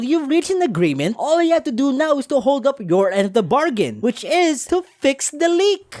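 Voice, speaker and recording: Very animated, exasperated woman reviewer. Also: on the high side, no room sound, studio quality. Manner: sarcastic, exasperated, blunt. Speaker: film reviewer. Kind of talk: casual sweary video review commentary.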